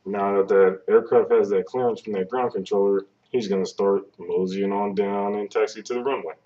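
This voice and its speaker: A man's voice: fairly low pitch, steady tone, moderate pace, mellow. A man giving an informative explainer talk in a plain-spoken, conversational way, step by step, recorded on a webcam microphone. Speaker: air traffic control presenter. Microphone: webcam microphone at some distance. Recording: distant, dull webcam microphone sound.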